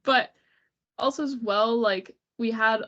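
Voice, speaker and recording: A woman co-hosting a casual podcast, congested and sniffly with a cold, chatting quickly with frequent laughs and sarcastic asides. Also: on the high side, pushed and bright, muffled recording. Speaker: podcast co-host. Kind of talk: casual chat about hockey.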